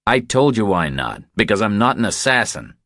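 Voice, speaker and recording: Deep-voiced curt, stubborn drifter played by a man. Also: sing-song, moderate pace, fairly bright tone, no echo, studio quality. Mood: firm refusal. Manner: curt, firm. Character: terse, stubborn, hard-bitten male drifter who refuses.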